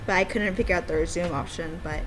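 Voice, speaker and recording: A woman chatting casually and excitably as she plays through a visual novel she made. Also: on the low side, fast, noisy background. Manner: giggly, excitable, informal. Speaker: game developer streaming own visual novel. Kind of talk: casual playthrough chat, reading dialogue aloud.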